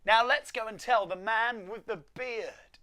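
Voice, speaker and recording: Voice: measured pace, pushed and bright. A storyteller voicing an earnest Christmas elf from a children's storybook, in an urgent, emphatic manner. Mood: excited. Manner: high-pitched, urgent, emphatic.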